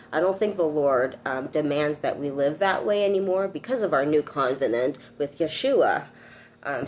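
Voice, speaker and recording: A woman reads aloud and comments informally. Her voice sits low for a woman and moves with lively, varied intonation. She sounds earnest, and there is some noise behind her. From Canada. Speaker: host reading a book aloud with commentary.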